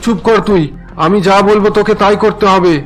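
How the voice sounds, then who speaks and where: A man's voice: high-pitched, steady tone, normal speed, faint music behind, close to an everyday voice. A man voicing a strict, domineering middle-aged father in a cartoon, with an authoritative manner. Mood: angry, commanding. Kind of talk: Bengali cartoon dialogue.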